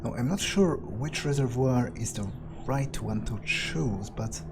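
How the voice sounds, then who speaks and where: A man's voice: mid-range voice, a little slow, noisy background. A man thinking aloud in character as a brooding, grumbling vampire during a game playthrough, hesitant and prone to swearing. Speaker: let's player.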